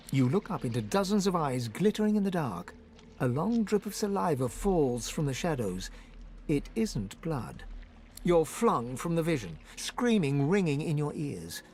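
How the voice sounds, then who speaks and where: A man playing a theatrical, suspenseful storyteller, narrating a dark fantasy game. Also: on the high side, slight background noise. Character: dramatic male storyteller of a dark fantasy tale. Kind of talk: scripted fantasy game narration, second person.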